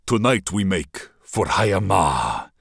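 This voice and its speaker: A man voicing a cold, scheming warlord prince, slow, mocking and measured. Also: sits low, very animated, gravelly, a bit bright, breathy edge, no room sound, studio quality, full character voice. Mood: menacing.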